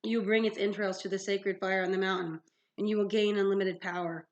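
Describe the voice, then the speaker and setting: A woman's voice: mid-range voice, little pitch movement, a little fast, lightly acted. A woman voicing a gloating male manticore villain from a fantasy storybook, sneering and formal, with a French accent. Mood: smug. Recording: dull lo-fi sound.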